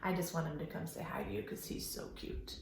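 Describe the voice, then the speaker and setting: A woman hosting a children's storytime lesson, speaking in an animated, sing-song, instructional way and enunciating carefully for young children. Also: on the low side, brisk, gravelly. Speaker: children's library storytime host. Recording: roomy echo, faint background noise.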